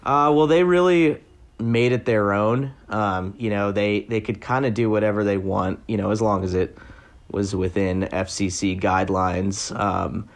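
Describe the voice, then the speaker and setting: Guy reporter, lowish voice, super casual, lots of likes and uhs. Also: steady tone, unhurried. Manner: loose, filler-heavy talk with many likes and uhs. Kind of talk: casual interview answers.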